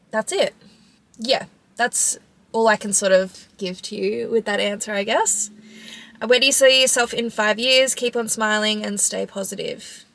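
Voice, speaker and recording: A woman's voice: mid-range voice, a bit bright. A woman in her mid twenties with an Australian accent, chatting casually in a vlog Q&A, informal, with frequent "like" and trailing phrases. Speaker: vlogger and sprinter answering audience questions.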